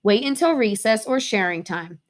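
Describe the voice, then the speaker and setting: A woman voicing an easygoing but firm male mouse teacher in a storybook, giving measured, polite instructions. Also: fairly high pitch, expressive, lightly acted. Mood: calmly firm.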